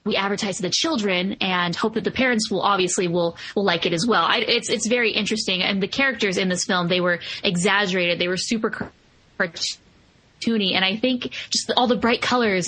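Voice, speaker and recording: A woman's voice: medium pitch, fairly bright tone, low-quality recording. A woman co-hosting a podcast, talking casually as she reviews a film. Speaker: podcast co-host.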